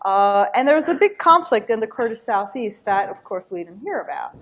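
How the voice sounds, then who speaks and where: Middle-aged woman, slightly high voice, animated academic speaker who thinks out loud. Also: brisk, husky, poor audio. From Turkey. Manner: animated, laughing asides, thinking aloud. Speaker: invited book talk speaker. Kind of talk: academic talk with anecdotes.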